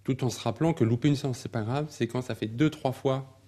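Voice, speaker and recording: Man, podcast host, mellow voice, mid pitch, encouraging, restates the guest's points. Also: fast. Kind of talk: casual interview talk.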